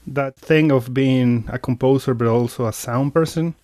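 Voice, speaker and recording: A man from Argentina with an Argentine Spanish accent, a composer talking in an interview with a relaxed manner, often repeating words and laughing easily. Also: mid-range voice, moderate pace, dry recording. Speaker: composer and sound artist guest. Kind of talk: interview conversation.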